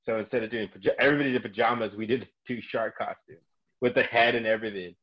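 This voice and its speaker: A man's voice: fairly low pitch, quick pace, husky, muffled recording. A man in his twenties telling a story in casual chat, acting out people's reactions as he goes. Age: 20s. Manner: animated storyteller who acts out reactions. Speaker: gym coach and guest.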